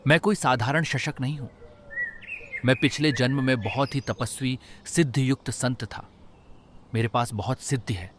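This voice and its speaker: A man voicing a calm, stern talking rabbit who is a reborn saint, in a solemn, measured way for a Hindi cartoon. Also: mid-range voice, noisy background, lightly acted. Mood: solemn, commanding. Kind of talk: Hindi animated moral story dialogue.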